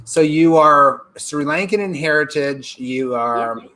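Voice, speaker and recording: A man's voice: fairly high pitch, takes it slowly, sharp tone, low-quality recording. A man hosting an interview show, talking effusively and piling on superlatives. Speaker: show host.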